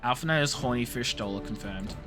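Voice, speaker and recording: A man chatting casually as a live game streamer, in an animated, expressive way with emphatic, drawn-out words. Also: medium pitch. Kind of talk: casual game stream chat, reading story dialogue and viewer messages aloud. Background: game music.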